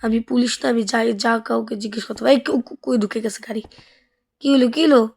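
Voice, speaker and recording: A woman's voice: fairly high pitch, steady tone, fairly quick, gravelly, a little mellow. A woman with a Bangladeshi accent giving casual, chatty gameplay commentary, thinking aloud and asking questions as the host. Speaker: gaming video host.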